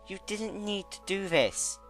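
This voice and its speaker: A man acts out a gentle female pony in a dramatic fan-fiction reading. The voice is lifted high, earnest and anxious, caring yet insistent. Music runs behind it. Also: unhurried. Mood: distressed.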